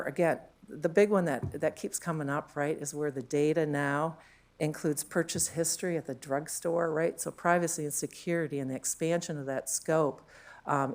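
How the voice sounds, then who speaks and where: A woman with a deep, low voice talks to a conference room through a handheld mic. She is chatty and loose, with frequent fillers and questions tagged onto her points. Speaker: conference panel speaker. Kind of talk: conference panel talk.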